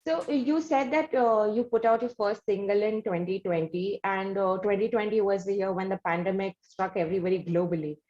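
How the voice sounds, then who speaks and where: An Indian-accented woman speaks as the host of an interview, at a middle pitch. She builds her questions slowly and wordily, often rephrasing as she goes. The sound is roomy and echoey, with slightly dulled audio. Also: steady tone, darkish tone, lo-fi sound.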